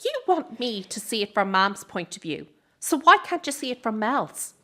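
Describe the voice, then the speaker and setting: A woman's voice: a little high, very animated, moderate pace, sharp tone. A woman acting a young Irish circus granddaughter in a radio drama, caring but blunt with her family. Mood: indignant.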